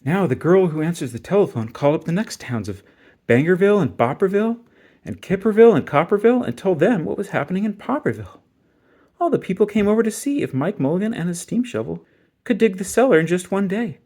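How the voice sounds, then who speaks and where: A man reading a children's story aloud in an expressive, dramatic and emphatic way. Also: sits high, quick pace. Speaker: storybook reader.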